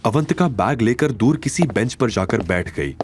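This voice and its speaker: A man's voice: lowish voice, expressive, moderate pace, background noise. A man narrating a scripted dramatic audio story.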